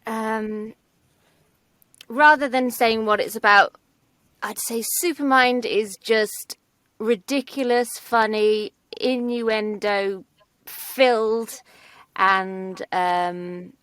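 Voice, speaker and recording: A woman's voice: fairly high pitch, slow pace, a bit bright, no room sound. A woman in her thirties chatting casually as an interview guest from a small recording booth. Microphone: booth studio mic, headphones.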